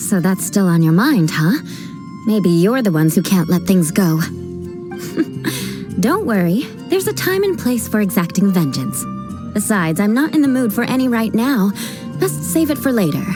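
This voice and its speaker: A woman's voice: mid-range voice, very animated, measured pace. An actress playing a proud young noblewoman knight captain who talks with dry haughtiness and vows vengeance over every little slight. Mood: composed. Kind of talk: video game voice acting. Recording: game dialogue with background music.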